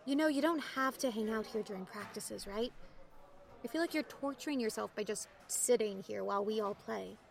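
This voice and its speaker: A woman acting in an audio drama as an excitable, concerned teenage girl athlete, chatty and earnest as she probes her friend. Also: medium pitch, normal speed, creaky, a little hiss or hum, natural voice.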